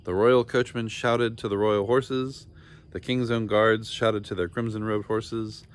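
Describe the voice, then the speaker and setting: A man narrating a children's storybook in an expressive, deliberate and dramatic storytelling style. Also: lowish voice. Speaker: storybook reader.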